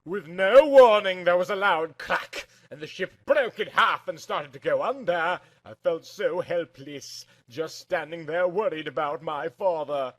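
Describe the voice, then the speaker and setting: A man's voice: on the high side, measured pace, hardly any pauses. A man reading a first-person story aloud in a theatrical, emphatic narrating style.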